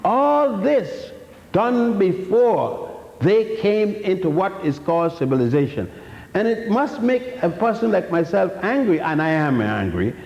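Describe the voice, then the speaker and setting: An older man from Ethiopia giving a public history lecture, blunt and defiant, rambling with many restarts. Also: on the high side. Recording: echoey hall, dull lo-fi sound.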